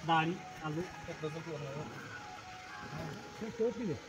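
Brief: young male vlogger, slightly high voice, loose outdoor chat, loud music behind. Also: low-quality recording. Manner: loose, chatty, giving quick instructions. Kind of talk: casual chat while pitching a tent. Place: outdoor park among pine trees.

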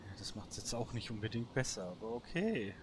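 A man's voice: fairly low pitch, lively intonation, fairly quick, background noise. A man giving casual solo let's play commentary, thinking aloud to himself. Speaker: gaming video host.

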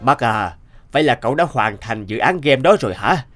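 A man's voice: medium pitch, animated, moderate pace, music in the background, natural voice. A man voicing a concerned, reluctant middle-aged office boss in a cartoon drama.

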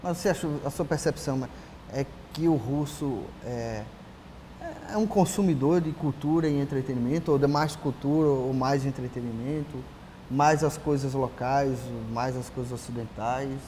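A man's voice: medium pitch, moderate pace, dark tone. A Brazilian man in his forties hosting a TV interview, asking friendly questions and closing the show, miked in a large empty auditorium. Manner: brief affirming murmurs, friendly questions. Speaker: TV interview host. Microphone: lapel mic. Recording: long hall reverb, faint hiss.

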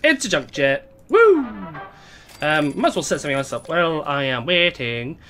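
Young guy streaming a game from home, voice a bit hoarse, bright and bouncy, faint music behind. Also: on the high side, very animated, moderate pace. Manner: chatty, greets viewers, reads chat aloud. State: hoarse, voice going. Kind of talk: casual live-stream chat. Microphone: desk mic.

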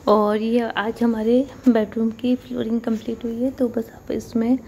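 A woman's voice: on the high side, a little slow. A woman in her thirties narrating a casual home vlog about household chores, chatting evenly from a room in her apartment into a phone camera. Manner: chatty, explains daily chores at an even pace. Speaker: vlogger. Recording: slightly dull phone audio with faint room noise.

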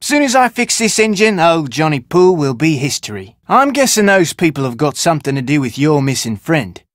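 An actor playing a gruff, wary Australian fugitive in a video game, with brusque, slangy talk. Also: male voice, on the high side, big pitch swings, a little fast, no echo, studio quality. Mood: guarded, confiding. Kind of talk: video game character dialogue.

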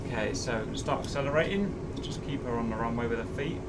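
A man hosts a casual game session with a clear hum of noise behind him. He coaches a young beginner in a medium-pitched voice. He is encouraging, giving simple instructions and repeating them reassuringly. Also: fast. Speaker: host, godfather teaching a child a flight sim. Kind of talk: casual coaching chat during gameplay. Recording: noisy room with steady hum.

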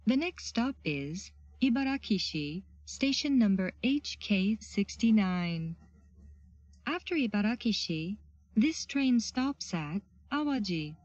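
A woman making formal, measured automated announcements on a train, phrase by phrase in a scripted style. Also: mid-range voice, sing-song, a little mellow, dry recording. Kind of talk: scripted English transit announcement. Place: played over a train's speakers. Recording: dull lo-fi train PA capture.

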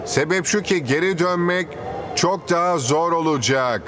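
A calm cartoon team captain played by a man, slightly high in pitch and slow in delivery. He is steady and encouraging, a motivator. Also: noisy background, lightly acted. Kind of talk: dubbed cartoon acting.

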